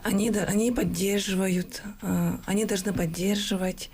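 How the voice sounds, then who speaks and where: Woman, studio guest, agreeable, hesitant, mid pitch, faint background noise. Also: fairly even intonation, moderate pace, darkish tone. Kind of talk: studio interview answer.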